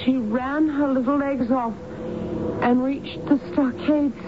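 A woman's voice: sits high, steady tone, slow. An actress playing a troubled, genteel married woman in a radio drama, speaking carefully and politely. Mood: entranced, weary. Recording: dull, noisy vintage radio broadcast.

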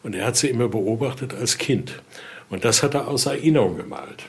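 A man's voice: medium pitch, lively intonation, a little fast, vocal fry. A man giving an informal spoken explanation as an expert guide, often adding short tag questions to check agreement. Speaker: expert guide showing a collection.

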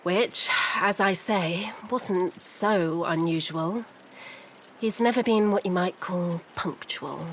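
An actress playing a composed, proud industrialist's wife in a noir audio drama, wry and precise. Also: female voice, fairly low pitch, slow pace, slight background noise, poor audio. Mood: composed, insistent.

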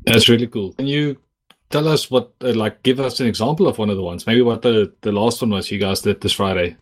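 Low-voiced chatty host from South Africa. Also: male voice, a little fast. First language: Afrikaans. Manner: chatty, rambling, many likes. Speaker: podcast host. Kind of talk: casual podcast interview.

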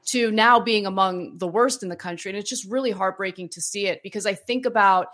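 A woman's voice: mid-range voice, brisk, a bit bright. Woman host from Los Angeles, Armenian native. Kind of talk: casual chat.

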